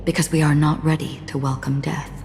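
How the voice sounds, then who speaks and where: An actress playing a stoic, philosophical swordswoman in a video game cutscene, speaking in a grave, measured, formal way. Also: sits low, flat delivery, vocal fry, darkish tone. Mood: gentle, philosophical. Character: solemn, stoic female swordswoman, cryptic and philosophical. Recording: long reverb, music behind the voice.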